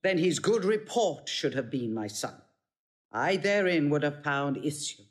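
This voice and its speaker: A woman's voice: low voice, big pitch swings, measured pace. An actress playing a fierce, proud older Roman mother in a Shakespearean audio drama, imperious and declamatory. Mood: proud, impassioned. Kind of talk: classical Shakespearean stage acting.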